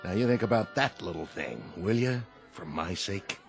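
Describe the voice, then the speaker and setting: Tired sardonic american barkeep, lively pitch, radio play with music under it. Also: male voice, normal speed, creaky. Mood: weary, fed up. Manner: dry, plain-spoken. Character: weary, sardonic male American bartender. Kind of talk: radio drama acting.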